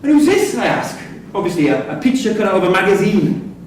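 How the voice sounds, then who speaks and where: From some way off in a heavily echoing room comes a man playing a wry, gossipy male prisoner. His voice is on the high side, and he talks like a droll storyteller in a live prison play. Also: very animated, fairly quick. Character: wry, chatty male prisoner telling anecdotes. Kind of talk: staged reading of a prison comedy-drama. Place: theatre room at a live staged reading. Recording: distant, very echoey hall, faint noise.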